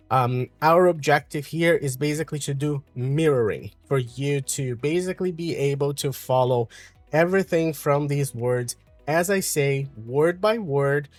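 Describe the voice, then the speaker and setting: A man from Brazil with a Brazilian Portuguese accent, calmly explaining an English lesson with frequent fillers. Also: mid-range voice, dry recording. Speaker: English teacher.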